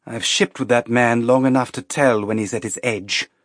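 A male audiobook reader plays a frustrated crewwoman on a spaceship. She is outspoken, informal and blunt, voiced at a middling pitch in a very dry recording. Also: steady tone, normal speed, no room sound, close to an everyday voice. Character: frustrated, outspoken woman spaceship crew member. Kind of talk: audiobook character acting.

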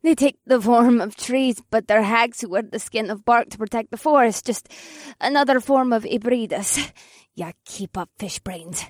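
A woman voicing sharp-tongued, combative young witches with a raspy, snappy delivery in a dramatized fantasy audiobook. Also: on the high side, normal speed, hardly any pauses, no room sound, studio quality, close to an everyday voice. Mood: scornful. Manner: snappy, scornful.

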